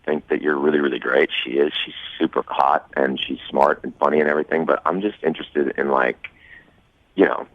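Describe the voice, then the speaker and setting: A man speaks in an extremely deep, sultry voice over a narrow phone line. He is a radio caller, casual, sure of himself and flirtatious. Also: fairly even intonation, brisk, dry recording. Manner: self-assured, flirtatious. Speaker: caller on a radio dating segment. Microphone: phone call.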